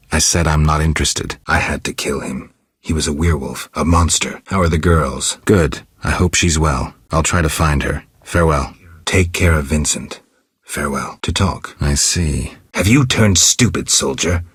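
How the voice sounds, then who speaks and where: A world-weary swordsman who hunts monsters in a fantasy video game. His voice is extremely deep and heavily rasped, recorded close and dry. He speaks in short, understated, deadpan phrases, gruff and stoic. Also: no room sound, exaggerated voice. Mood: urgent. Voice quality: very deep, gravelly, husky rasp. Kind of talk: video game dialogue acting.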